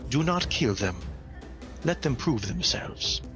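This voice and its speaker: A man voicing a calm, merciful woman, a warlord's wife who pleads gently and without hurry in a tabletop game. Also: mid-range voice, takes it slowly, over music. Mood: calm pleading.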